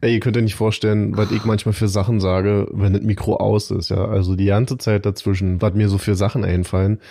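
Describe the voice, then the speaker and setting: A man's voice: fairly low pitch, flat delivery, fairly quick, vocal fry, mellow, no echo, clean studio sound. A man with a Berlin accent co-hosting a podcast in casual chat, blunt and joking, saying whatever comes to mind. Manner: blunt, loose-mouthed, joking. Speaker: podcast co-host.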